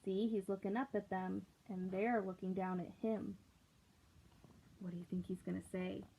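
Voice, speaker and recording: A woman reading a picture book aloud to children, gently asking them questions along the way. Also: medium pitch, flat delivery, normal speed, gravelly, a little hiss or hum. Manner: gentle, asks listeners questions. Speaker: read-aloud storyteller. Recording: tinny lo-fi recording.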